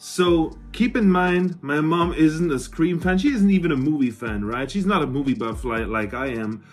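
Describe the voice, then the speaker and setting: Male vlogger, thirties, husky, fairly high voice; casual, enthusiastic, sweary show-and-tell. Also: moderate pace, soft background music. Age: 30s. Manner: excited, swearing, informal. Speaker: vlogger, horror collector. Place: small cluttered room indoors.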